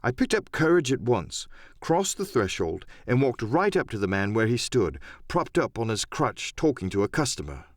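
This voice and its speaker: A man's voice: mid-range voice, very animated, moderate pace, no echo, studio quality. A man narrating an adventure audiobook in a dramatic first-person storytelling style.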